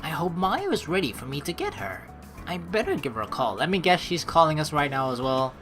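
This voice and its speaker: A woman's voice: low voice, very animated, fairly quick, a bit bright. A woman hosting a let's play, chatting informally with rambling asides and reading the game's story text aloud. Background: game music.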